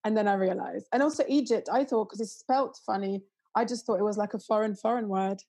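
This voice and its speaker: A woman's voice: medium pitch, a little fast, mellow, slightly rough. Bubbly woman in casual chat. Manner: bubbly, chatty with fillers. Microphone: video call.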